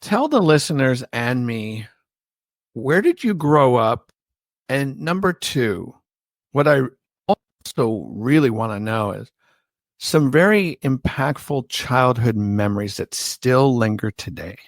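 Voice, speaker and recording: A man hosting a podcast, asking his guest questions in a slow, deliberate way. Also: medium pitch, very animated, no echo. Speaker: podcast host. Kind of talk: interview questions.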